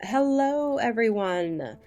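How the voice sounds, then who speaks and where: A woman narrating a scripted true crime video in a deliberate, measured way with emphatic phrasing. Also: a little high, lively intonation, darkish tone. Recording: voice-over with music behind it. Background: music.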